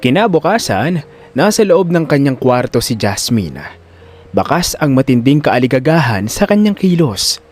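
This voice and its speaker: A man's voice: medium pitch, sing-song, normal speed, darkish tone. A man narrating a scripted audio drama in a dramatic storytelling style.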